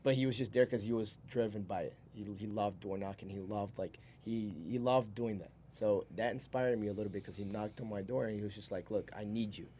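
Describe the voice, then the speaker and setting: Low-voiced young man, casual and rambling. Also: steady tone, fairly quick, few pauses, dark tone, slight background noise. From Brazil. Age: 20s. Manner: informal, rambling, chuckles while talking. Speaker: podcast guest, solar salesman. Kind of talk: casual storytelling in an interview.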